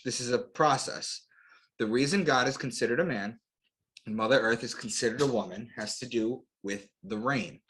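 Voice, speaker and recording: A man's voice: medium pitch, a bit bright. A man giving an explanatory lecture as an interview guest, in short declarative phrases with rhetorical questions. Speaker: guest author and astrotheologist.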